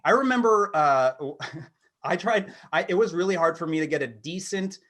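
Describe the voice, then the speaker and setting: A middle-aged American man co-hosting a podcast, talking in an animated, rambling, self-deprecating way, between comic monologue and casual chat. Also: high voice, moderate pace. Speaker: podcast co-host.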